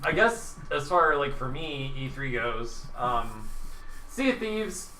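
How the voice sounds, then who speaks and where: A man chatting casually about video games as a podcast host, swearing heavily and repeating himself for emphasis in excitable rants. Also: mid-range voice, slow pace. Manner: excitable, emphatic ranting, repeats phrases for stress. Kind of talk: casual gaming chat with heavy swearing. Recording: roomy, distant mic with background noise.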